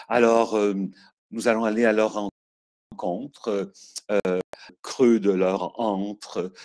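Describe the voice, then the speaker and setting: An older man hosting an interview over a video call, effusive and full of hesitations. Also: low voice. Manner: warm, effusive, frequent hesitations. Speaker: host. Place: stone-walled room indoors.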